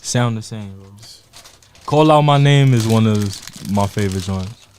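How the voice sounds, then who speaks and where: A man in casual podcast chat, quick to give strong opinions and swearing freely. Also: on the low side, a little mellow, a little hiss or hum.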